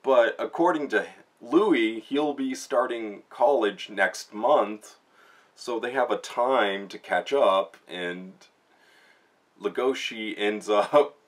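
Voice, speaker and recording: A man's voice: fairly low pitch, slow. A man giving a casual spoken review, retelling a story in informal, slangy language. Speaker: manga reviewer, host. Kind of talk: casual spoken book review with plot recap.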